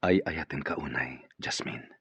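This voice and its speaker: A man's voice: rumbling low, moderate pace, a bit bright, breathy edge, dry recording, dull audio, heavily acted. A man playing a smitten, playful and earnest company boss in an Ilocano radio drama, with an Ilocano accent. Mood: tender, earnest.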